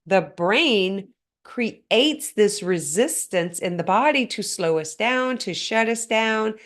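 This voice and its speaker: A woman hosting a motivational podcast on her own, speaking earnestly and often putting questions straight to the listener, her voice a little hoarse and stuffed up. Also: mid-range voice, lively intonation, takes it slowly, fairly bright tone. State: slightly hoarse, congested. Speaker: podcast host. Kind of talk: motivational solo talk.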